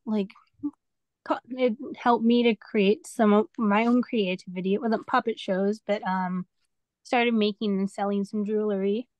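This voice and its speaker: A woman's voice: mid-range voice, a little mellow, no echo. A young adult woman chatting casually as a guest on a video call. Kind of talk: casual chat. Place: small indoor room on a video call. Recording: dull, lo-fi video-call audio.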